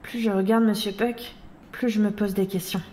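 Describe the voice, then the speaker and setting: A woman's voice: mid-range voice, steady tone, normal speed, warm tone, a little hiss or hum, close to an everyday voice. An actress playing an earnest, troubled mother who doubts herself, serious and hesitant, in an audio drama. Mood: sad, self-reproaching. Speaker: voice actor in a fan audio drama. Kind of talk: French audio drama acting. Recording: roomy sound with music behind.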